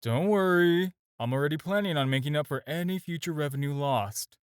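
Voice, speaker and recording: A man's voice: fairly high pitch, a little fast, clean tone, no room sound, natural voice. A man acting a flirty, self-assured supervillain in an audio roleplay, talking to the listener in a teasing, casual, conversational way. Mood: casual, reassuring. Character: flirty, smooth, self-assured male supervillain courting his tailor.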